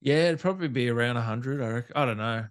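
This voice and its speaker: A man's voice: mid-range voice, quick pace. An Australian man hosting a casual interview in an enthusiastic, informal and teasing way. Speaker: podcast host, interviewer. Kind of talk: casual chat.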